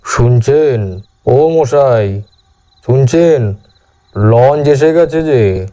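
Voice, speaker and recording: A man voicing a solemn ghostly old man of about seventy for a horror cartoon, with a deep voice and formal, deliberate delivery. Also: lively intonation, warm tone. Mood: calm, grave. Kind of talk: cartoon horror story acting.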